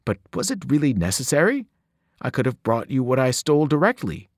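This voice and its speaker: A man's voice: mid-range voice, sing-song, a little fast, dry recording, studio quality. A man voicing a nervous invisible male servant who grovels and stammers before his master. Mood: fawning.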